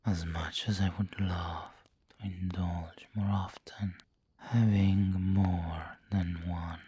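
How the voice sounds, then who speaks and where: An aristocratic vampire lord, acted by a man, murmurs in a low, husky whisper for an ASMR roleplay. He is very slow and deliberate, with formal, snobbish refinement and a possessive, doting tenderness. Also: darkish tone, exaggerated voice. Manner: slow, deliberate, formal, pausing mid-phrase. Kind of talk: ASMR roleplay, intimate scripted monologue.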